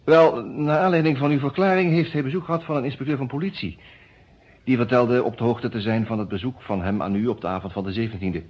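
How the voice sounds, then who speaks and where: An actor in a Dutch radio detective drama playing a composed, businesslike defence lawyer with a measured, formal manner. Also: male voice, mid-range voice, husky, natural voice. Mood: businesslike, reassuring. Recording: muffled old radio play recording.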